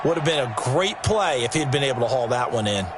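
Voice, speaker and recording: A man's voice: mid-range voice, lively intonation, brisk, very noisy, close to an everyday voice. A man with an American accent giving conversational, explanatory color commentary in an American football video game. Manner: conversational, explanatory, addresses the listener. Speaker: color analyst. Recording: commentary under loud music and crowd. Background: loud music and stadium crowd.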